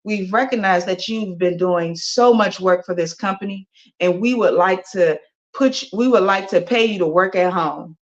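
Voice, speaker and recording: A woman's voice: mid-range voice, moderate pace, natural voice. A woman voicing a polite male company boss speaking formally on a phone call. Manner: formal, businesslike.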